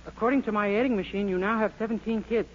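A man's voice: sits high, quick pace, lightly acted. An actor playing a naive, earnest young man with an innocent, guileless manner in a 1940s radio sitcom. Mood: reciting earnestly. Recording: muffled, noisy old radio recording.

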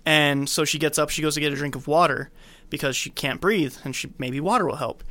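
A podcast co-host casually retelling a film scene by scene, acting out bits in an animated way. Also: medium pitch, a little fast, dry recording.

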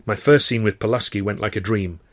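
A man's voice: lowish voice, steady tone, a little fast, no room sound. A man reading a first-person novel aloud as scripted audiobook narration. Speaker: author reading his own novel, narrator.

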